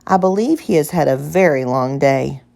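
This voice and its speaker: A woman's voice: low-pitched, animated, normal speed, creaky, mellow. A woman voicing a polite, helpful male hotel bellhop, obliging and mildly apologetic, in a children's story. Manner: courteous, obliging. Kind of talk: children's picture book character voice.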